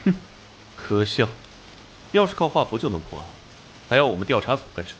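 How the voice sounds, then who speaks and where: An actor playing a brusque, hot-tempered police captain in an animated drama, with a gruff, commanding, sarcastic manner. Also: male voice, mid-range voice, a little fast, a little hiss or hum, natural voice, low-quality recording. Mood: scornful. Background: music.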